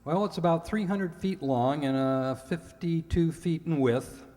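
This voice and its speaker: A man's voice: fairly high pitch, warm tone, close to an everyday voice. A man playing an earnest, literal-minded ship's purser who gives hesitant answers as a radio quiz contestant. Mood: matter-of-fact. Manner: hesitant, halting, plainly literal. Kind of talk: recreated old-time radio quiz show. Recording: echoey hall with long reverb.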